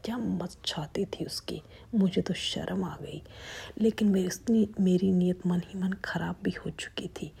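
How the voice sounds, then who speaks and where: Husky whispering young woman narrator. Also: fairly low pitch, measured pace.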